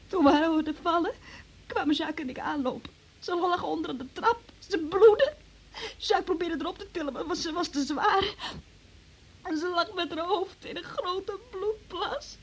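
A woman's voice: extremely high pitch, full character voice. An actress in a radio drama playing a frightened young woman under police questioning, tearful, faltering and pleading.

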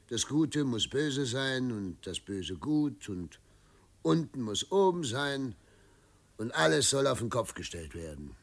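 A man playing an authoritarian middle-aged governor and father in a radio drama, speaking in a measured, declamatory and patronizing way. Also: medium pitch, full character voice. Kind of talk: German radio drama acting.

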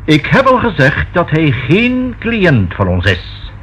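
A man's voice: fairly high pitch, huge pitch swings, fairly bright tone, exaggerated voice. A man acting a discreet, proper notary in a Dutch radio play, speaking formally and choosing precise words. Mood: guarded, polite. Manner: formal, measured, precisely worded. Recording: dull, faintly noisy old radio recording.

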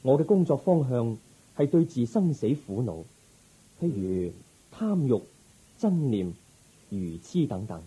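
A man playing a calm, resolute young prince turned monk in a radio drama, speaking in a measured, gentle, formal way. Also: medium pitch, breathy edge, full character voice, low-quality recording. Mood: polite, firm. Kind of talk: Cantonese Buddhist radio drama acting.

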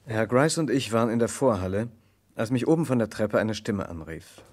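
An actor playing an earnest, gallant gentleman who narrates and investigates in a German radio crime drama, with a formal, polite manner. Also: lowish voice, animated, a little fast, slight background noise, close to an everyday voice. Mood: reflective narration.

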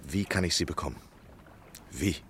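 Deep-voiced, eager gentleman schemer. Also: normal speed. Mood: scheming, excited. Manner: ingratiating, polite, effusive. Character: eager, scheming American man of letters, courteous and insistent. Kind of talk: 1960s German radio play acting.

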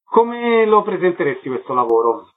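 A man's voice: high voice, very animated, normal speed. A man hosting a radio interview, asking short polite questions. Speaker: radio host. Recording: tinny phone line.

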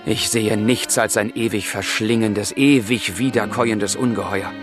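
A man's voice: lowish voice, fairly even intonation, unhurried, loud music behind the voice, natural voice. An actor playing a passionate, brooding young man of the 1770s in a literary radio drama, with fervent, declamatory delivery. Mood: anguished, brooding. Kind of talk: literary radio drama, dialogue and letter monologue.

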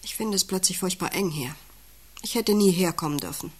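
An actress in a radio play playing a plain-spoken, long-suffering country wife, blunt, shifting from muted questions to exasperated outbursts. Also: female voice, on the low side, a little fast, husky. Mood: weary, withdrawn. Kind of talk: German radio play acting.

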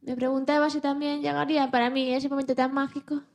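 A woman voicing a timid, hopeful young male dog who tells his own story in a children's radio play, expressive and a little halting. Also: sits high. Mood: lonely then hopeful.

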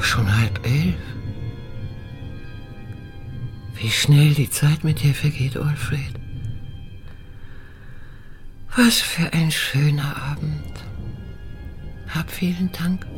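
An actress playing a lonely, tender elderly woman in a radio drama, musing in a hushed, intimate way. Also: sits low. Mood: fond. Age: older.

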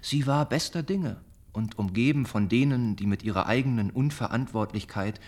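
An actor in a German radio play, playing a lovesick young gentleman, earnest and measured as he voices heartfelt letters. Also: mid-range voice, natural voice. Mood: troubled, intimate. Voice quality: husky, breathy.

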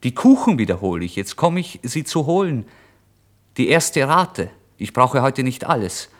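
A man's voice: mid-range voice, sing-song, normal speed, lightly acted. An actor playing a starving, proud and agitated down-and-out man in a dramatic literary radio monologue. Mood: insistent, sly. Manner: dramatic, swinging from hushed brooding to indignant outbursts.

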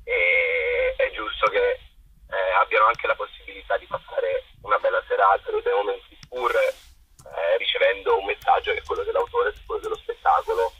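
A young man explaining his theatre work in an interview, haltingly, restating phrases as he searches for words. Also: sits low, huge pitch swings, pushed and bright, dull audio, a little hiss or hum. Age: young adult. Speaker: theatre maker being interviewed.

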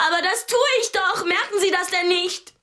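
An actress playing a frightened but defiant young captive woman in a radio drama, breathy and pointed in her speech. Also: very high-pitched, steady tone, moderate pace, pushed and bright. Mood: defiant, urgent. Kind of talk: German radio drama dialogue.

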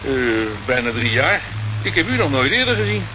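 A casual, obliging car park attendant with a fairly high voice. The radio play sounds dull and clearly noisy, like an old recording. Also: male voice, big pitch swings, fairly quick.

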